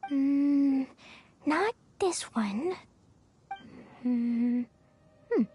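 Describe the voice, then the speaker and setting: A woman's voice: high voice, expressive, drawn-out words, warm tone, slight background noise, heavily acted. An actress voicing a tiny, innocent and impulsive little girl in an anime game, naive and childlike. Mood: eager, pondering. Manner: childlike, naive, wide-eyed.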